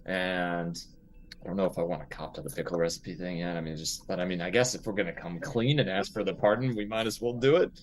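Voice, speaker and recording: A man playing a tabletop role-playing game, thinking aloud in casual, halting talk as he plans in character. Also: low-pitched, fairly even intonation.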